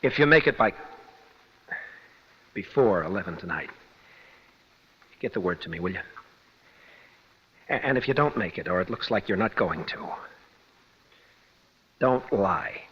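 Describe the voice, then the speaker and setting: An actor playing an earnest young condemned prisoner, intense and pleading, in a radio detective drama. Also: male voice, sits low, lively intonation, a little slow, pauses often, breathy edge, exaggerated voice. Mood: tense, insistent. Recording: dull vintage radio recording.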